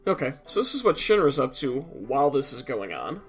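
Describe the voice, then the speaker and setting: A man's voice: mid-range voice, sing-song, brisk, soft background music. A man with a stuffed-up nose giving casual, explanatory commentary over a video game, blunt and a little profane at times. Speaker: let's play commentator.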